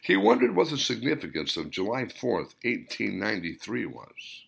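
Low, gravelly man narrating with animated pitch. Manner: deliberate, theatrical, short phrases. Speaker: author reading own novel. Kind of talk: dramatic audiobook narration.

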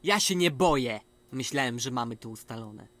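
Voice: medium pitch, lively intonation, moderate pace, a bit bright, close to an everyday voice. An actor voicing a cynical, foul-mouthed, sarcastic young boy with a scornful manner in a cartoon fan dub. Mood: dismissive. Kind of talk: animated series fan dub.

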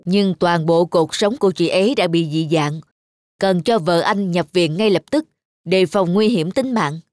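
Cartoon doctor: middle-aged, serious, kindly, measured formal delivery. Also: male voice, sits high, soft background music. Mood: grave. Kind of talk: cartoon dub acting.

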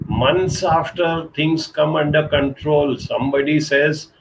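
A middle-aged man from India giving an earnest motivational talk over a webcam from a small curtained room, slowing down to stress key points. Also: a little high, steady tone, slight background noise, low-quality recording. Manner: earnest, urging, slows down for emphasis. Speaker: counselor giving a live talk. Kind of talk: motivational live-stream talk. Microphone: webcam video call.

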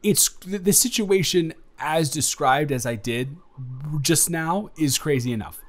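A man playing an excitable, incredulous young influencer friend in an improvised audio roleplay, rambling emphatically to one listener. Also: a little high, natural voice. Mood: animated, teasing. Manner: rambling, emphatic, halting, with dropped asides.

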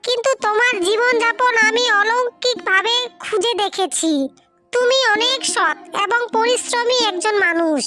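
A woman voicing a kindly magical snake-woman cursed into a bird in a cartoon fairy tale, with a gentle, earnest, reassuring manner. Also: extremely high pitch, moderate pace. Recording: music behind the voice.

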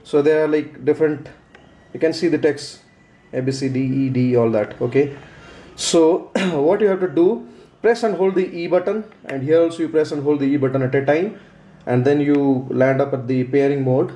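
A man's voice: a little high, moderate pace, mellow. A man giving a casual unboxing and how-to demonstration, explaining step by step in a hesitant, repetitive way. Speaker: product reviewer. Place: indoors, small room at a tabletop. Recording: roomy echo, faint background noise.

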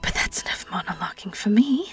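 A woman playing a sly, vengeful vampire in an audio roleplay, with a British accent, a breathy, often whispered voice and a taunting, theatrical delivery. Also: medium pitch, sing-song, brisk, soft background music. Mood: hushed, bitter. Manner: taunting, theatrical, lingering on words. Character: vengeful young female vampire, sly, gloating and predatory. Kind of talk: dramatic audio roleplay monologue.

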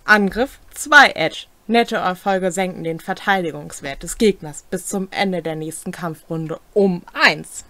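A woman's voice: on the low side, unhurried, few pauses, bright, forceful tone, dry recording. A young adult woman reads game rules aloud as a co-host, with brief comments of her own. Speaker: co-host of a tabletop role-playing rules series. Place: small furnished room at home, indoors.

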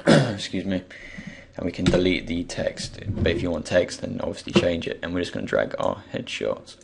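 A young man with a croaky voice, just woken up, thinking aloud with many pauses as he talks through a software tutorial. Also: low-pitched, very animated, heavy background noise. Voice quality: croaky, gravelly. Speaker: tutorial creator. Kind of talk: screen-recorded software tutorial.

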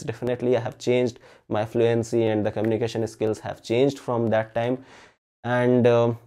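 A man's voice: medium pitch, warm tone. A young man whose first language is Hindi, talking earnestly to camera as a chemistry channel host and often checking in with "okay?". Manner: earnest, frequent "okay?" tags and fillers. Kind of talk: casual talk to camera. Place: indoor room with balloons. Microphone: facing camera at mid framing.

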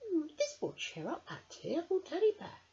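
A caring, cheerful little girl in a picture book, as a storyteller puts her on. The voice is high, childlike and earnest, with big swings in pitch, on a muffled lo-fi recording. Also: wildly swooping pitch, moderate pace, warm tone, exaggerated voice. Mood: thoughtful. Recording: dull lo-fi camera audio.

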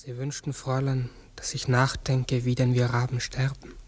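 An actor playing a pensive, courteous, human-sized talking raven in a 1960s German radio play, speaking hesitantly and formally. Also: male voice, medium pitch, completely flat delivery, normal speed, soft background music, close to an everyday voice.

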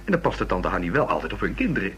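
An actor playing a young male student in a radio drama, a helpful witness who speaks haltingly. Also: low voice, very animated, fairly bright tone. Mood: chatty, grumbling. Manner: hesitant, halting. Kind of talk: Dutch radio drama dialogue. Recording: slightly noisy old radio recording.

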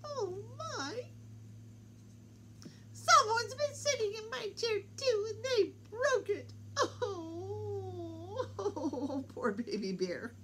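A woman voicing a small, tearful baby bear cub in a squeaky, wailing voice. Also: animated, drawn-out words, pushed and bright, slight background noise, heavily acted. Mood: upset.

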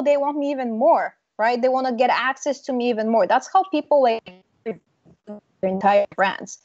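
A young woman from Bulgaria gives confident, emphatic advice as an interview guest over a video call. Her voice sits fairly high, and the sound is dry and dull, lo-fi call audio from a small room. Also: a little mellow, no room sound. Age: young adult. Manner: confident, emphatic, laughs now and then. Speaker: guest, branding consultant. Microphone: earbud mic on video call.

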